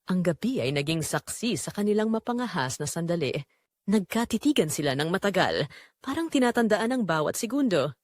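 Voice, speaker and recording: A woman's voice: fairly low pitch, no room sound, studio quality. A woman narrating a scripted audio drama in a dramatic, suspenseful way.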